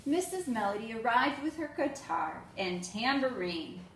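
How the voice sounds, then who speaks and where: Woman as children's read-aloud narrator: high, animated delivery, echoey room. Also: a little slow. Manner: expressive, addressing young children. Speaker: teacher reading aloud to children. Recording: echoey room with faint noise.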